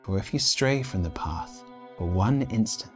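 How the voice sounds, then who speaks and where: Low-voiced man telling a dark tale. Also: animated, measured pace. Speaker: reader of a short story. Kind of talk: dramatic literary storytelling, scripted narration. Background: instrumental background music.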